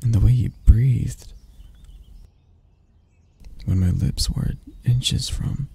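Husky, dark-voiced predatory monster lover played by a man. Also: full character voice. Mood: tender, possessive. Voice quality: husky, breathy, gravelly low voice. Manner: slow, teasing murmur with soft chuckles and near-whispers. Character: predatory yandere spider-creature lover, teasing, possessive, tender. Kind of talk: intimate ASMR roleplay acting.

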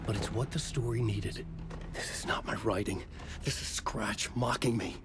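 A man's voice: on the low side, moderate pace, fairly bright tone, soft background music, lightly acted. An actor playing a brooding horror novelist who narrates his own thoughts in a dramatic video game monologue. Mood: grim.

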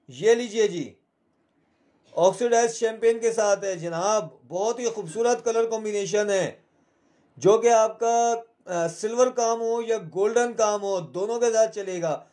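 A man's voice: high voice, slow, a little mellow. A man with a Pakistani accent hosting a live shopping show, selling in a repetitive, urging salesman's manner.

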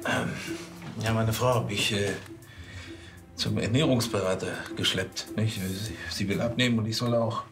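An actor playing a flustered, indignant police chief in a crime comedy, stammering and blustering. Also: male voice, fairly low pitch, steady tone, normal speed, over music, natural voice.

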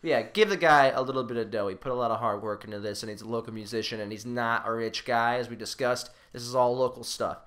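A man's voice: mid-range voice, fast talker, hardly any pauses, fairly bright tone. A man co-hosting a podcast, talking casually and bluntly with informal, insistent plugs. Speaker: podcast co-host.

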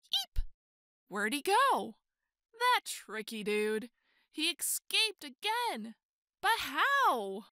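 A woman reading a children's picture book aloud in an expressive, exclaiming way with drawn-out emphasis. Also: high-pitched, no echo, clean studio sound. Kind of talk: animated children's read-aloud.

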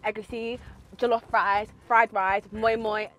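A woman's voice: medium pitch, level tone, unhurried, a loud crowd. A young woman in her twenties, a giggly, playful guest on a game show. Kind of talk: casual banter. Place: studio set, seated on sofa.

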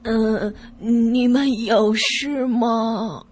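A woman's voice: fairly high pitch, steady tone, extremely slow, loud music behind the voice. A woman playing a reserved, hesitant innkeeper in a radio drama.

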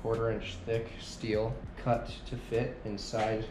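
A man's voice: lowish voice, completely flat delivery, slow, noisy background. A young man, a workshop welder, casually explaining a hands-on job as he works in a garage. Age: young adult. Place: large concrete workshop garage. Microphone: camera held nearby. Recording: echoey garage, music over it.

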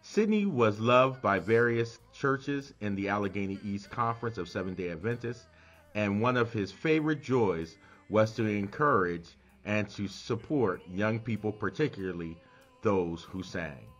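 A man with a fairly low voice reads from a written memorial biography. He delivers it as a family member reading prepared text. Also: animated, unhurried, a bit bright, muffled recording, soft background music.

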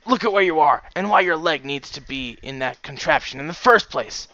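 A man's voice: a little high, lively intonation, moderate pace, pushed and bright, no echo, dull audio, voice pushed up. A man voicing a stern, embittered stallion father with an accusing manner in a storybook reading. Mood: furious. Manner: angry, cold, accusing.

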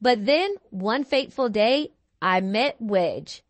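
A woman with a high voice reads a children's story aloud. Her intonation is very animated and theatrical, with emphatic phrasing, and the sound is dry and close. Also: takes it slowly, no echo. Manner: theatrical, clearly articulated, emphatic. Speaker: children's book reader. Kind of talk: expressive scripted storytelling.

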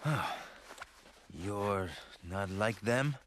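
A man's voice: fairly low pitch, animated, extremely slow, fairly bright tone, slight background noise, full character voice. A man playing a wry, laid-back, flippant character in a video game. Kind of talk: video game cutscene acting.

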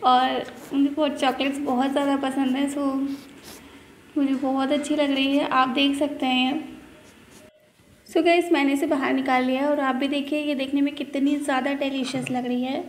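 A woman's voice: sits high, flat delivery, moderate pace. A woman hosting a casual food review vlog, chatting to viewers in a mix of Hindi and English phrases while she handles the product. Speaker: food channel host reviewing chocolate. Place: indoors at a table. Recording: echoey room with faint noise.

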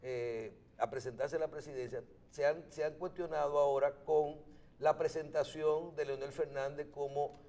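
A man with a Dominican accent interviewing on a political show, phrasing questions in a halting, roundabout way with repeated words. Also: a little high, steady tone, warm tone.

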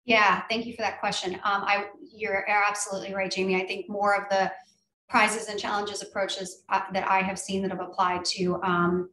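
A woman in her thirties from the United States, speaking as a panelist on a video call from home, with many fillers and pauses. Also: mid-range voice, little pitch movement, pushed and bright, recorded from a distance. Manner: hesitant, many fillers, gestures while talking. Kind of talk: online panel discussion. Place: home room with shelves. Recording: echoey room, off-mic, faint noise.

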